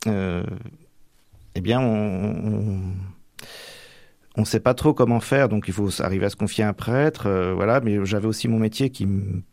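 A man's voice: fairly low pitch, moderate pace, pauses often, darkish tone, dry recording. A young man training for the priesthood, answering radio interview questions in a hesitant way with many fillers and restarts. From Manche, Normandy.

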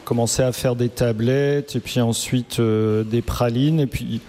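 A man's voice: medium pitch, steady tone, measured pace, dark tone, a little hiss or hum. A man, a chocolatier, talking as a guest in a casual interview, hesitant and full of fillers.

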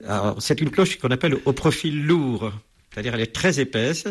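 Guy with a husky voice, lots of euh and restarts, explaining bells on a radio show. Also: mid-range voice, expressive, fairly quick. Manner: hesitant, many fillers and restarts. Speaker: bell expert guest.

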